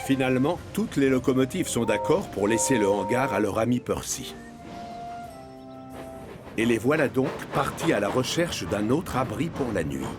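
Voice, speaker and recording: A man's voice: mid-range voice, big pitch swings, normal speed, loud music behind the voice. A man narrating a scripted children's story.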